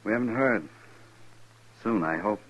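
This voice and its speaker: Deep, husky Army lieutenant. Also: male voice, a little slow, lo-fi sound. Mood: worried. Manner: polite, sober, guarded. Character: earnest, worried, courteous young-ranking Army lieutenant. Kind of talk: 1950s radio western acting.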